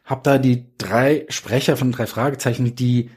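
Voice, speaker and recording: A man's voice: medium pitch, steady tone, normal speed, a little mellow, slightly rough. A man chatting casually as a podcast guest, explaining his work as a writer with examples. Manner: explains with examples and acted-out quotes. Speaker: guest, audio drama writer.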